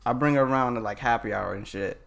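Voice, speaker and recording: A man's voice: medium pitch, fast, gravelly. A man co-hosting a casual podcast chat, slangy and joking, posing hypotheticals to his friends. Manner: slangy, laughs loudly, poses hypotheticals. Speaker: podcast co-host.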